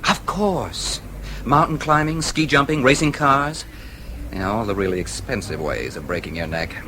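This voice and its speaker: A man's voice: medium pitch, normal speed, bright, forceful tone, a little hiss or hum, close to an everyday voice. An actor playing a wry, breezy American newspaperman in a radio drama, casual and knowing, with an American accent.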